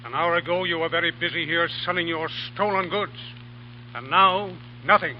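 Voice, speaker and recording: A stern, pompous governor, played by an actor, cold and threatening. His voice is high for a man. The sound is dull and old, with faint hum. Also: moderate pace, lightly acted. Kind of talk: 1930s radio drama acting. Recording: dull old radio broadcast recording.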